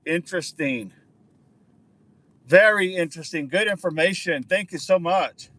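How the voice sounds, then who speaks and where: A man's voice: sits high, unhurried, bright, forceful tone. A man hosting a casual livestream outdoors, chatting with viewers and answering their comments, often repeating words for emphasis. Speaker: livestream host.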